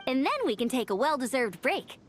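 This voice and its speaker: A woman's voice: sits high, sing-song, a little fast, a little hiss or hum. A woman voicing an upbeat, loyal young adventurer in a video game, chatty, enthusiastic and encouraging. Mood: cheerful, chatty. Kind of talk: video game character dialogue. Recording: music under the voice.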